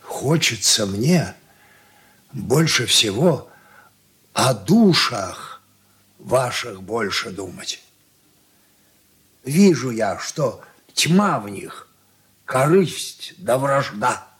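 An actor playing an old merchant father in a radio drama, reproachful and weary, speaking haltingly and sorrowfully. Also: mid-range voice, sing-song, fairly bright tone, heavily acted. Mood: weary, pleading. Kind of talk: Soviet radio play acting.

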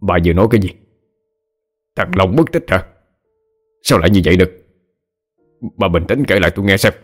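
A man voicing a domineering, hot-tempered older husband, gruff and scolding, in an audio horror story. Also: deep voice, a little fast, darkish tone, faint music behind. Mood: shocked, demanding. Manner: gruff, scolding, barking questions.

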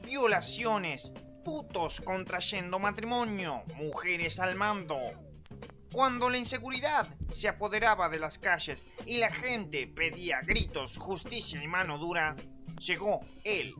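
A man playing a bombastic, declamatory narrator introducing a mock-heroic cop serial on radio. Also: high voice. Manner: dramatic, declamatory. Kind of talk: scripted radio drama intro.

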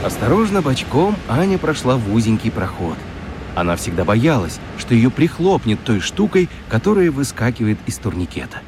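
A man narrating a children's radio story expressively, with theatrical emphasis and dramatic pauses. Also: medium pitch, sing-song, background noise. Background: music.

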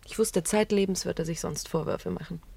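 An actress playing a grief-stricken, self-blaming widow in a German radio play, with a brooding, hesitant, confessional manner. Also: female voice, on the low side, sing-song, a little fast, vocal fry, dark tone, husky, no echo, exaggerated voice. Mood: anguished, confessing.

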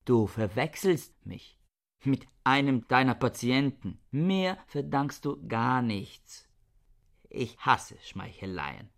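A man's voice: medium pitch, sing-song, slow, gravelly, full character voice. An actor playing a bitter, misanthropic scholar in a radio drama, harsh and lecturing in his contempt. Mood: cold, scornful. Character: bitter misanthropic male scholar, scornful older brother. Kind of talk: German radio drama acting.

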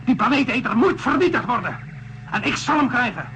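An actor playing a stubborn, hot-headed astronaut who argues in a declamatory radio drama style. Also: male voice, very high-pitched, big pitch swings, fairly quick, bright, forceful tone, slight background noise, exaggerated voice, low-quality recording. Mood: angry, defiant. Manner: argumentative, declamatory.

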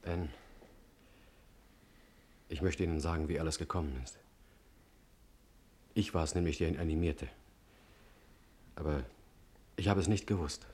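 A guilty friend, low husky voice, quiet, radio drama. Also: male voice, fairly even intonation, unhurried, long pauses, heavily acted. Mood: subdued, guilty. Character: subdued, guilt-ridden male friend. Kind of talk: German radio play acting.

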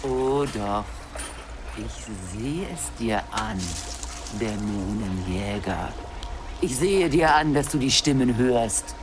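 A woman's voice: a bass voice, animated, slow pace, noisy background, full character voice. An actress playing an eerie, ancient forest witch in a radio drama, cryptic and taunting as she speaks in riddles. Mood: eerie, coaxing. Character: eerie forest witch calling herself old crow, ancient yet young-looking. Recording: music behind the voice.

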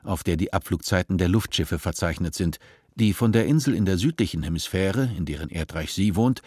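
Male narrator, deep voice, calm, measured, dry close sound, faint music. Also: slightly rough, no room sound. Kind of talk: scripted narration.

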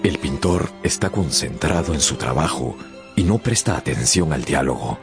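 A man narrating a radio drama as a scripted, dramatic story, with a gravelly voice. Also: a bass voice, lively intonation, normal speed. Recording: music under the narration. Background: music.

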